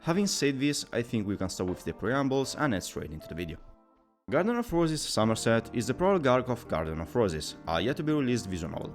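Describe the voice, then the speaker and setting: Male video reviewer, scripted narration, brisk and animated, soft music underneath. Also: mid-range voice. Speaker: video game reviewer, channel host.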